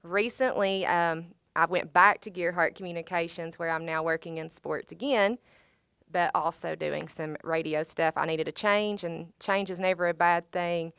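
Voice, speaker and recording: Upbeat woman, smooth low voice. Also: fairly even intonation, normal speed, fairly bright tone, no room sound. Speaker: alumni panelist, sports broadcaster. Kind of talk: panel talk.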